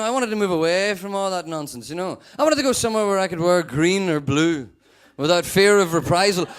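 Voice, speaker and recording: A young man from Northern Ireland with a Northern Irish accent, performing a stand-up set with a sweary, deadpan manner. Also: high voice. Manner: sweary, animated, deadpan asides. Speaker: stand-up comedian.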